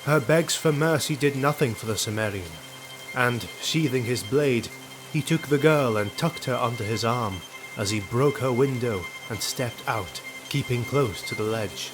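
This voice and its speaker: A man's voice: medium pitch, a little slow, poor audio. A man narrating a fantasy audio drama in a scripted storytelling style. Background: background music.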